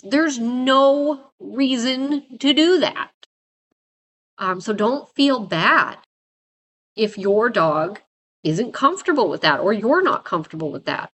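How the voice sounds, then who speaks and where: A woman dog trainer, raspy from a head cold, giving blunt, emphatic advice in casual talk to viewers. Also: fairly high pitch, a bit bright. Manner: emphatic, blunt, repeats "right?" and "guys".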